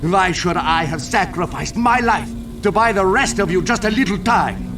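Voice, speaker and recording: A man's voice: high-pitched, lively intonation, normal speed. A man playing a self-justifying fantasy clansman who speaks in a formal, archaic way. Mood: bitter, defiant. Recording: distant mic under music.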